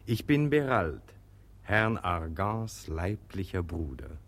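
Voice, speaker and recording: Radio comedy: level-headed, wryly confiding brother, low-pitched voice. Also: male voice, big pitch swings, takes it slowly, slight background noise, heavily acted. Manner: reasoning, gently mocking, confiding. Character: sensible, amused brother of a hypochondriac. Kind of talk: German radio play comedy acting.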